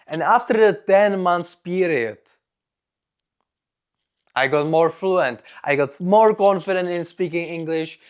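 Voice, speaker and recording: A young man from the Czech Republic, a native Czech speaker with a strong Czech accent, gives a personal vlog monologue in deliberate, simple non-native phrasing. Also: sits high, smooth. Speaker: vlogger.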